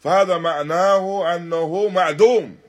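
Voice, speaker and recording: A man teaching in a religious lecture, deliberate and emphatic, repeating and rephrasing his phrases as he explains a text. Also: sits high. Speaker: religious teacher giving a lesson. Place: Islamic centre, live stream.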